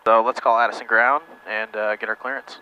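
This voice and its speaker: A man's voice: lowish voice, level tone, fairly quick, sharp tone, rough recording. A man giving a casual instructional explanation in a plain-spoken, conversational way, as a presenter teaching practical tips.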